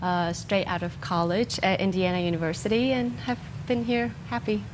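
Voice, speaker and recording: A woman's voice: mid-range voice, fairly even intonation, normal speed, background noise. A woman violinist and teacher from Taiwan, giving friendly, direct answers with small "uh" fillers as a TV studio guest.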